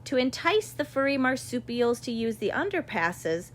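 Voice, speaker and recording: A woman reading a nonfiction children's picture book aloud in an expressive, deliberate storytelling way. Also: high voice, a little hiss or hum. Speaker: audiobook reader.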